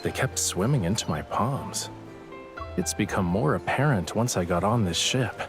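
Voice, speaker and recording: A man's voice: fairly low pitch, expressive, normal speed, natural voice. A man playing a teasing, pouty and smug young love interest in a romantic audio drama. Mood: reflective. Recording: music under the voice. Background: background music.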